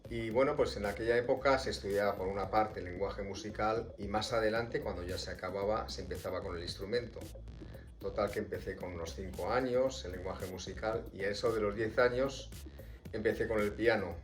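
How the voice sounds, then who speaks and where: A man from Spain, a clarinetist, recalling his early years in an unhurried storytelling way with fillers. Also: fairly low pitch, faint music behind. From Spain (born Pontevedra, raised Madrid). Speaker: clarinetist in a quartet. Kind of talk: interview storytelling.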